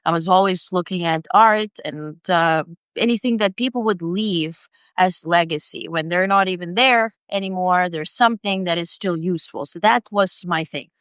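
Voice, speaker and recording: A middle-aged woman from Ukraine, speaking English as a second language, with a low and smooth voice. She talks as a guest on a video call and pauses often on fillers. The sound is dry and close. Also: fairly bright tone, no room sound. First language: Ukrainian or Russian. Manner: hesitant, many fillers. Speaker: independent journalist, guest. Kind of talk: interview conversation.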